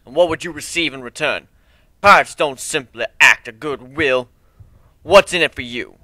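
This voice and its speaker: Guy doing stubborn panther tribe boss, growly and formal, loud tense delivery, dry sound, fantasy story. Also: a little high, normal speed, no room sound. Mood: suspicious.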